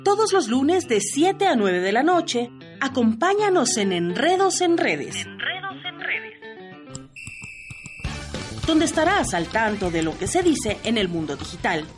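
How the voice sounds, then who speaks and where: Female promo announcer, animated pitch, over a clear guitar bed. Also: measured pace, pauses often. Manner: lively, sing-song promo delivery. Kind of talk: scripted radio promo.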